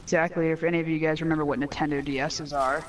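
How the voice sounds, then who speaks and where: A man's voice: a little high, level tone, fast talker. A young man co-hosting a gaming show, chatting casually with opinions of his own, a little distant from the microphone. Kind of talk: casual chat over gameplay.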